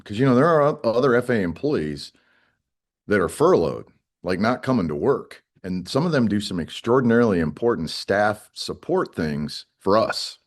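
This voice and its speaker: Middle aged guy w a really low voice, explaining stuff in an interview, blunt and emphatic. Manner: plain-spoken, emphatic, repeats phrases for stress. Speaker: air traffic controllers' union spokesman. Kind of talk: interview answers, conversational explaining.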